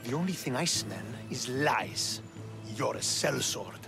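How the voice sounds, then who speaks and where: An actor playing a stern, suspicious Spartan military commander in a video game, with a commanding, distrustful manner. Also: male voice, medium pitch, animated, unhurried, vocal fry, over music. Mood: suspicious, threatening. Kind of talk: dramatic video game acting.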